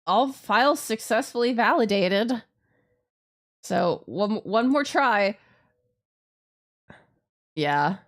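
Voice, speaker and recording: A woman streamer chatting casually through a game, expressive and giggly, often swearing and interrupting herself. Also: fairly high pitch, slow pace, long pauses. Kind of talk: live visual novel playthrough commentary, casual chat.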